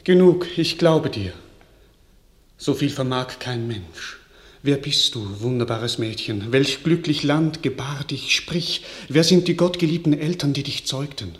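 A man's voice: mid-range voice, unhurried, reverberant, natural voice. A man acting an earnest, awestruck royal prince in a classical radio play, declaiming elevated verse with urgency. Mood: awed, urgent. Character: young royal prince, awed, earnest, searching.

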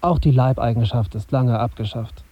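An actor playing a brooding young journalist, narrating his tense inner thoughts in a measured, husky voice for a radio drama. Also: mid-range voice, no room sound, highs cut off, close to an everyday voice. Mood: brooding, bitter. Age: young adult. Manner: introspective, measured, bitter self-questioning.

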